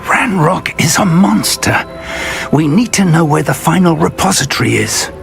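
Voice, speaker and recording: An actor playing an earnest middle-aged wizard professor and mentor in a video game, speaking in a deliberate, articulate, scholarly way. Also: male voice, fairly high pitch, lively intonation, gravelly. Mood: grave, urgent. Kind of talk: dramatic video game voice acting. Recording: music playing behind the voice. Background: game music.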